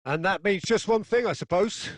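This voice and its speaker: A man's voice: high-pitched, normal speed, a bit bright. A man voicing a football video game's play-by-play commentator, with dramatic, punchy phrasing. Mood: engaged, dramatic.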